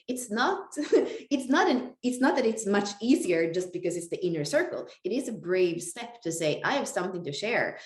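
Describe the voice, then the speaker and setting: A woman co-hosting a live webinar, talking casually and reflectively, with a roomy echo around her voice. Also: fairly low pitch. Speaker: webinar co-host. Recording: roomy, echoing sound.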